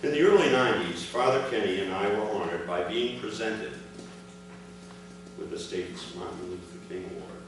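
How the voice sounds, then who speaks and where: An older man reading a prepared speech aloud to a gathering in measured, separate phrases. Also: on the low side, lively intonation. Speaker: speaker reading his father's account at an award ceremony. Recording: echoey, noisy hall recording from far off.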